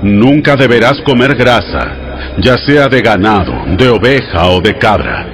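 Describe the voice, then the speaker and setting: An actor voicing God as a solemn, commanding lawgiver, speaking in a measured, authoritative way in a dramatized audio Bible. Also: male voice, medium pitch, animated, a bit bright.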